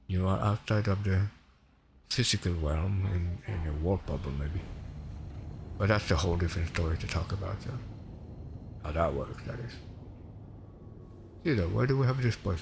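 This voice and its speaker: Deep-voiced Swedish man streaming a game. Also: vocal fry, a little hiss or hum. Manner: chatty, rambling, self-correcting. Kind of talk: casual gameplay commentary.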